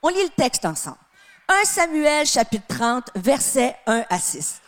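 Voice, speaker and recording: High-voiced Québécois woman preaching. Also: big pitch swings. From Canada. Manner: emphatic, drawn-out phrases with sudden drops to a soft tone. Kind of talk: sermon. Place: church with live and online audience.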